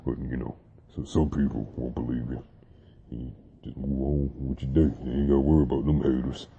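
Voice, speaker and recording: Casting: young man with an extremely deep, husky voice, laid-back vlog chat, dull lo-fi sound. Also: a little fast. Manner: relaxed, rambling, with fillers and slang. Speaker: vlogger. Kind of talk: casual talk to camera about deep voices.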